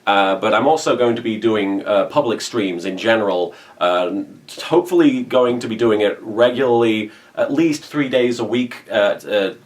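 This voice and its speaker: Male video creator, fairly low pitch, informal vlog chat to followers, given to joking asides. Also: moderate pace, few pauses. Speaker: online video creator and streamer. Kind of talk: casual vlog channel update.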